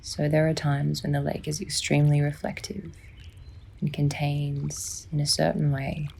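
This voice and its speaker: A woman softly and soothingly narrating a guided sleep meditation, unhurried, with long pauses and a breathy, near-whispering delivery. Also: low voice, level tone. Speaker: psychologist guiding a sleep meditation.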